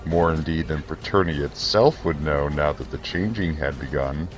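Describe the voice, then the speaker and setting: A man reading scripted fantasy narration for an audio drama in a solemn, measured, theatrical way. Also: extremely low pitch, faint music behind.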